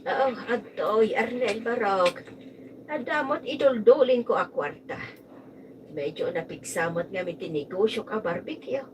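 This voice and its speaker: Woman acting an animated Filipino mother, noisy recording. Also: medium pitch, a little slow, natural voice. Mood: earnest, concerned. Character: emotional mother in a family drama. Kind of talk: Ilocano radio drama acting. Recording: distant mics, noisy amateur live recording.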